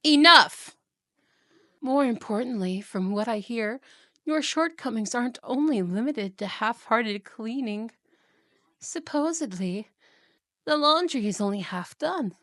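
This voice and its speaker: Fantasy roleplay wicked stepmother queen, female performer: higher-pitched, unhurried, imperious and condescending, recorded very dry. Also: expressive, a bit bright, no echo. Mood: stern, scolding. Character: haughty, cruel evil queen and wicked stepmother. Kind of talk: fantasy ASMR roleplay acting.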